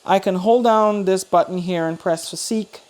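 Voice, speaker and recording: A woman's voice: medium pitch, moderate pace, darkish tone. A woman giving a casual, chatty product review, thinking aloud as she demonstrates. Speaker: host of a radio review channel.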